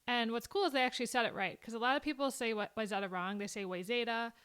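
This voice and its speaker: Upbeat Minnesotan vlogger in her late 20s, smooth and clear voice, a bit higher than most. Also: fairly even intonation, fast talker, fairly bright tone. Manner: chatty, upbeat, explanatory. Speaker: vlogger reacting to a film. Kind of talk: casual commentary.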